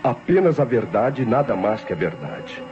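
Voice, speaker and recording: An actor playing an idle, self-justifying nephew who narrates his confession formally and deliberately, with a Brazilian accent, in an old radio drama. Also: mid-range voice, big pitch swings, noisy background, natural voice. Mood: solemn, defensive. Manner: formal, deliberate, theatrical diction. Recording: dull old radio recording with music.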